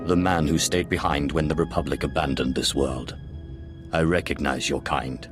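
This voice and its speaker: An actor playing a cold, shrewd Sith sorcerer in a video game, measured, formal and disdainful. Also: male voice, rumbling low, fairly even intonation. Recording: loud music under the voice.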